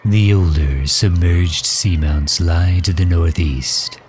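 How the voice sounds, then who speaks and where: A man playing a courtly, overprotective villain who fusses gently and formally in an ASMR roleplay drama. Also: deep voice, slow, a little hiss or hum. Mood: proud, expansive. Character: doting, overprotective male villain caring for injured hero.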